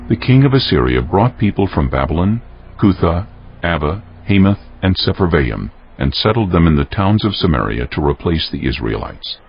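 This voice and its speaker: A man narrating scripture from a script in a solemn, measured, dramatic storytelling style. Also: rumbling low, creaky. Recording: dull lo-fi audio, faint music underneath.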